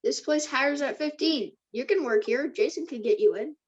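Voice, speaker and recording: A woman's voice: sits high, normal speed, lo-fi sound. A woman reading the part of an eager, persuasive fourteen-year-old boy at a script table read.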